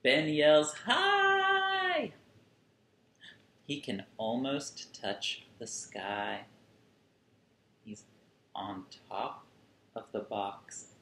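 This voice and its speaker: A man in his thirties reading a picture book aloud to young children, expressively, with drawn-out words, recorded indoors at a little distance from the mic. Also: medium pitch, sing-song, bright, forceful tone. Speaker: children's museum storyteller. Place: small furnished room at home, indoors. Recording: roomy sound with faint background noise.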